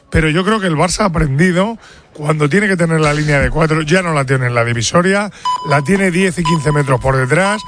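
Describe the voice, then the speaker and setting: Football radio reporter, male, Spain accent, voice sits a little high, spontaneous match-night talk. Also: a bit bright. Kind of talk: lively unscripted sports talk.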